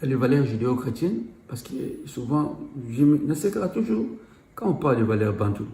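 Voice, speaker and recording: A man's voice: medium pitch, very animated, moderate pace, dark tone, echoey room. A man from Congo with a Congolese accent, giving an impassioned public address in an exhorting, emphatic way and repeating his key phrases. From Democratic Republic of the Congo. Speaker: community speaker.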